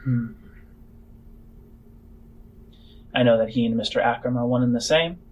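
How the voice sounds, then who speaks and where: A man voicing a weary, secretive halfling woman spymaster in a tabletop game, speaking in measured, formal phrases. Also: mid-range voice, dull audio, close to an everyday voice. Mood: grave, measured.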